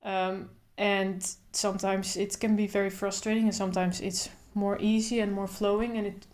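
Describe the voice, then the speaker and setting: A young woman who speaks openly with a stutter, repeating first sounds and pausing in blocks, talking thoughtfully to camera in short phrases. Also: medium pitch, level tone, a little mellow. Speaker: vlogger. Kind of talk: casual talk to camera.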